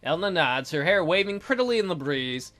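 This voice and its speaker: Animated, bright-voiced man hosting a let's play. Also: on the high side, fairly quick, faint music behind. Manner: theatrical, jokey. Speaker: let's play host and narrator. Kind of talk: reading a visual novel aloud with ad-libbed commentary.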